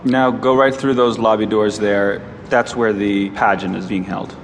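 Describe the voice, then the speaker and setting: A courteous, matter-of-fact pageant attendant in an audio drama. His low voice carries a long reverberant tail. Noise is clearly heard around him. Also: fairly even intonation, unhurried. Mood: polite, routine. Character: polite male check-in attendant at a pageant.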